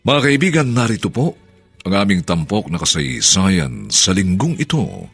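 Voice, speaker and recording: A man narrating and presenting a radio drama in a solemn, deliberate, scripted style. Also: low voice, very animated, husky, soft background music.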